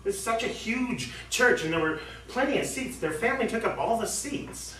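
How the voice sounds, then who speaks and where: A man's voice: sits high, animated, normal speed, fairly bright tone. A man playing a disgruntled, sarcastic husband at a wedding in an amateur stage play. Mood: indignant. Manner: complaining, exasperated, sarcastic. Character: disgruntled husband and garage boss, sulky wedding guest. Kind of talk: amateur one-act stage play acting. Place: indoor theatre studio, heard from afar. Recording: roomy distant stage recording, faint noise.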